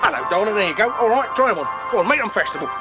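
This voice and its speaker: A performer doing a brash, fast market trader. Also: male voice, high-pitched, big pitch swings. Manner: brash patter, hurried. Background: music and audience laughter.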